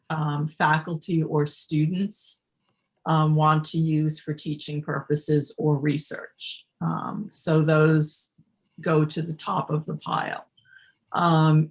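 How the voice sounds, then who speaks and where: A panel speaker giving an unscripted explanatory answer, deliberate and halting, often pausing to think. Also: mid-range voice.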